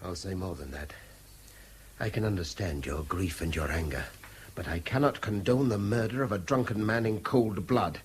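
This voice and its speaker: Actor as low-voiced, guilt-ridden, principled British man. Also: a little hiss or hum, natural voice. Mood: remorseful, indignant. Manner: formal, measured, articulate. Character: guilt-ridden, principled, indignant educated man under threat. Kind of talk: BBC radio drama acting.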